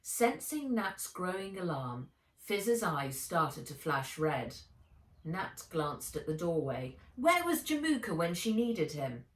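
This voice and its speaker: Woman narrator, low pitch, unhurried, expressive children's audiobook reading, roomy echo, distant mic. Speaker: author reading own book aloud.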